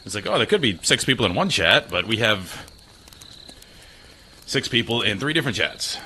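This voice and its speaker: A man with a deep voice chats easily to his viewers from a small spare room. His tone comes across sharp and bright through a slightly tinny mic. A faint hiss sits underneath. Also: big pitch swings, moderate pace. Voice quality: low voice. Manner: chatty, self-deprecating, talks to chat. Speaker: gaming streamer. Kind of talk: casual live-stream chat. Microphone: desk mic.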